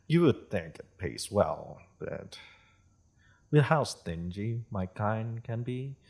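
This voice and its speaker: A worldly, courteous half-dragon merchant acted by a man in a fantasy audio roleplay. The voice is deep and slightly husky, unhurried yet expressive in its rise and fall. The phrasing is formal and polite, with wry amusement. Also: exaggerated voice. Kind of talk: scripted fantasy audio roleplay addressed to listener.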